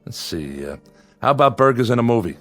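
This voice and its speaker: A man's voice: medium pitch, sing-song, brisk, music in the background, lightly acted. An actor playing a lonely man devoted to his android companion, by turns yearning and defensive, in dramatic radio style. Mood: tender, eager. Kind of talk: dramatic radio acting with confessional narration.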